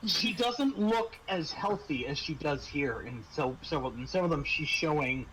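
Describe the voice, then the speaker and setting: A man narrating a tabletop roleplaying game as game master, halting and pausing mid-phrase. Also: fairly high pitch, expressive, a bit bright, rough recording. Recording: noticeable background noise.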